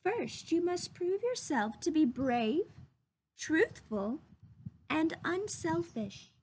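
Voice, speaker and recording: A woman voicing a gentle, wise storybook fairy in a soft, hushed, slow and instructive way. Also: very high-pitched, big pitch swings, pitched above the performer's own voice, heavily acted, poor audio. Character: gentle, wise blue fairy granting wishes.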